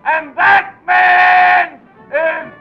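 An actor playing a bombastic campaign orator shouting out drawn-out proclamations in a 1940s radio drama. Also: male voice, extremely high pitch, flat delivery, soft background music, full character voice. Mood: rousing, proclaiming. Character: bombastic male campaign orator whipping up a rally. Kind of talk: 1940s radio acting, stump speech. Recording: dull old radio recording with crowd.

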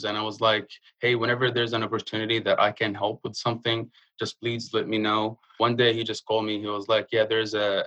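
A young man from Jordan, speaking earnestly in a casual interview and pausing often with fillers. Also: lowish voice, sharp tone, rough recording. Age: young adult. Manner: earnest, halting with frequent uh fillers. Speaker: guest.

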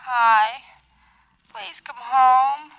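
A woman's voice: sits high, drawn-out words, fairly bright tone, no room sound, full character voice. A woman playing a coy, plaintive, cooing girlfriend in a 1950s radio drama. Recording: dull, dry old radio recording.

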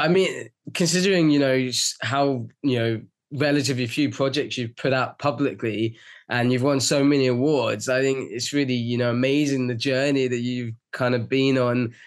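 A man's voice: mid-range voice, fairly even intonation, normal speed. A man hosting a casual interview as the interviewer, in a friendly, encouraging manner. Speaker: interviewer, story writer. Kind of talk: casual behind-the-scenes interview.

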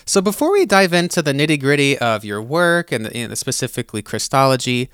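A man's voice: medium pitch, sing-song, brisk, a bit bright, clean tone, dry recording, clean studio sound. A man hosting a podcast interview, friendly and eager in his questions. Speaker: podcast host. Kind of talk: interview host, casual and appreciative.

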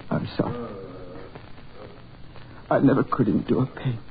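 An actor playing a cowardly diplomat losing his poise, halting and repeating himself, in a 1940s radio horror drama. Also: medium pitch, steady tone, close to an everyday voice. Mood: broken, ashamed. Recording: noisy, muffled 1940s radio recording.

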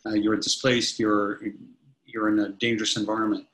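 Man in his 50s, CEO guest, a touch low-pitched, talks in loose, restarting sentences. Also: flat delivery, moderate pace, a bit bright, low-quality recording. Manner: rambling, many restarts and fillers. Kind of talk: casual interview answers.

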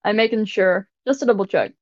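A woman hosting a casual podcast in a fairly deep voice, rambling and stumbling over her words a little. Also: brisk, no room sound. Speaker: podcast host. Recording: dull lo-fi dry audio.

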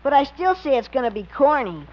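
A woman's voice: high-pitched, very animated, a little fast. A performer voicing a skeptical, blunt, grumbling little boy in 1950s radio comedy style. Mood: reluctant. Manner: blunt, scornful. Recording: muffled, noisy old radio recording.

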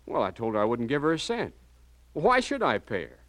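A man's voice: medium pitch, wildly swooping pitch, fairly quick, fairly bright tone, no room sound. A man playing an earnest, flustered young married visitor to the big city, hesitant and pleading, in 1950s radio drama style. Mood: agitated, pleading. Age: young adult. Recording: vintage radio drama recording.

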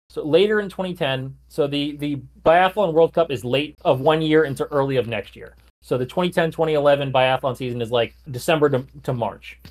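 Chatty man co-hosting a sports podcast. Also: on the high side. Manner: chatty, lots of "like" and "you know", occasional restarts. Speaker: podcast co-host. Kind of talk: casual chat, recounting a sports career.

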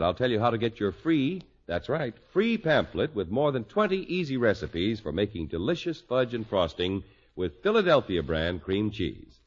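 A man announcing on 1950s radio, reading a scripted commercial with emphatic, deliberate salesman phrasing. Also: mid-range voice, husky. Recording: muffled old radio broadcast.

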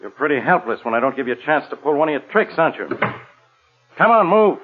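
An actor playing a gruff, sarcastic male police inspector, curt and commanding in 1940s radio style. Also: a little high, sing-song, fast talker, background noise. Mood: gruff, bossy. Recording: tinny, narrow old radio recording.

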